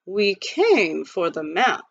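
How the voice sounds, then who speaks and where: A woman's voice: lowish voice, very animated, slow, gravelly, breathy edge, heavily acted. A woman voicing a polite, clever male butler in a storybook reading; formal, courteous speech on a dull lo-fi recording.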